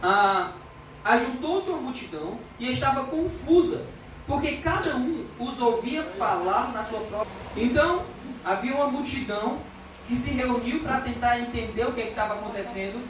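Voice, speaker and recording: A woman with a Brazilian Portuguese accent preaches earnestly, pressing each point and reading scripture aloud. Her voice sits a bit high and sounds distant from the mic. The recording is full of hall echo, with clear background noise. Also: expressive, normal speed. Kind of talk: expository sermon. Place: church hall. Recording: echoey church hall from far off, background noise.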